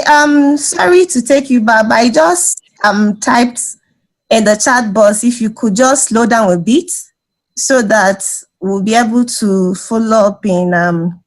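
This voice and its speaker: A fairly high-voiced woman speaks up over a video call during an online workshop. She is courteous and a little apologetic, asking the trainer to ease the pace. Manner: polite, apologetic request to slow down. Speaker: workshop participant. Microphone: computer mic on a video call.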